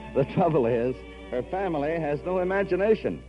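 Animated American man, old-time radio announcer. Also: a little high, unhurried. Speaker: announcer and narrator. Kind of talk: 1940s radio announcing, scripted narration. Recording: dull old radio recording with music.

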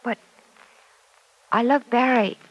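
An actress playing an earnest, self-doubting young woman in an old-time radio serial, confiding in a hesitant, soft-hearted way. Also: on the high side, fairly even intonation, slow, rough recording. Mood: earnest, tender. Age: young adult.

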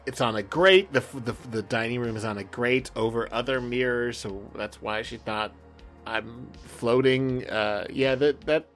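A man's voice: medium pitch, normal speed, fairly bright tone, faint music behind. A man co-hosting a podcast in casual chat, often breaking off and restarting his thoughts as he goes. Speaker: podcast co-host.

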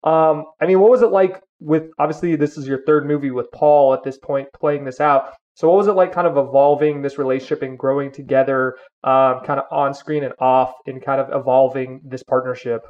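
A slightly dull, lo-fi recording carries a man's mid-pitched voice. He co-hosts a podcast and interviews casually, in a conversational style. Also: fairly even intonation, brisk, hardly any pauses, darkish tone.

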